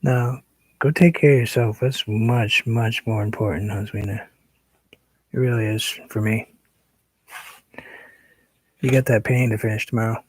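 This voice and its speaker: A man hosting a painting livestream, chatting casually and relaxed with viewers, sometimes dropping to a soft whisper as he works. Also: lowish voice, moderate pace, pauses often, breathy edge, no room sound, poor audio. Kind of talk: casual chat while painting.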